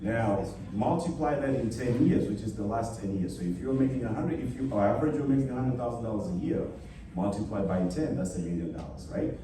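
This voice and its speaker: A man's voice: lowish voice, brisk, noisy background. Man from Tanzania, panel speaker, talks a crowd through numbers; far mic, echoey hall, audience behind. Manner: leads the room through a number exercise, checking in often. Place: event hall.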